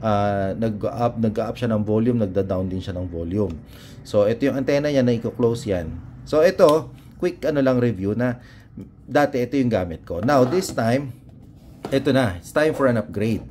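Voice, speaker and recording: A Filipino man talks through a gear review in a relaxed, mellow voice at a middling pitch. His delivery is casual and unscripted, often pausing to find the word as he switches between Tagalog and English. There is some noticeable background noise. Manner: hesitant, unscripted, searching for words, mixing Tagalog and English. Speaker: motorcycle vlogger presenting a product. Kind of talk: casual unboxing and review chat.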